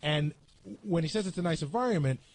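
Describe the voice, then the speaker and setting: Male radio panelist, high and quick, argumentative and blunt, some studio noise behind him. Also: animated, darkish tone. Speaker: radio show staff panelist. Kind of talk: heated casual panel discussion.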